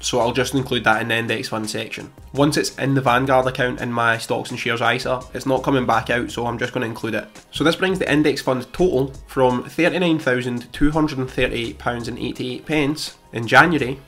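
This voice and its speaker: Young British man, slightly croaky, methodical finance vlogger, faint music bed under him. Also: mid-range voice, brisk, hardly any pauses. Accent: British English. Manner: steady, methodical reading of figures. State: slightly croaky voice after illness. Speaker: personal finance YouTuber. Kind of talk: scripted portfolio update to camera. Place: small home room, indoors. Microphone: studio mic in front of him.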